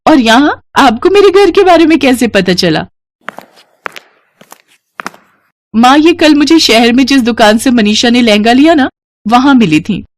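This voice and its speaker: A woman storyteller gives voice to a poor, modest young village woman in a moral tale. She speaks in a raised pitch, polite and meek, with some hesitancy. The recording is close and dry. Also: expressive, normal speed, no room sound. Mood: surprised.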